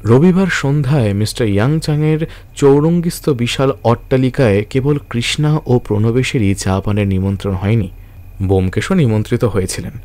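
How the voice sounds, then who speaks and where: A man's voice: medium pitch, moderate pace, warm tone, no echo. A man giving scripted, dramatic narration for an audio detective story.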